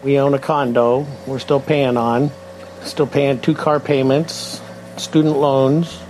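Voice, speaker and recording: A man's voice: medium pitch, little pitch movement, takes it slowly, a little mellow, a little hiss or hum, poor audio. A man in his sixties, a poll respondent, talking in a casual, candid way. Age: 60s.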